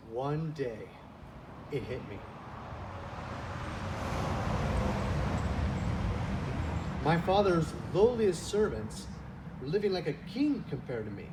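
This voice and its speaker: A man playing a humbled, yearning prodigal son in a church reader's theater skit, telling his story earnestly with dramatic pauses. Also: on the high side, big pitch swings. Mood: humble, hopeful. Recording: distant mic, noisy room.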